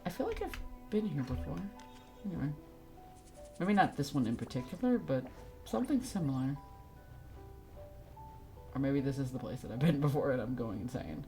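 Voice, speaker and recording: A woman's voice: extremely low pitch, animated, normal speed, pauses often, warm tone, soft background music, low-quality recording. A woman streaming a video game, giving casual, playful live commentary in an informal way. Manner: informal, playful, reacting aloud.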